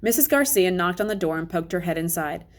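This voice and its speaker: A woman reading a children's novel aloud as an expressive, conversational first-person narration. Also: on the low side, brisk. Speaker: read-aloud reader.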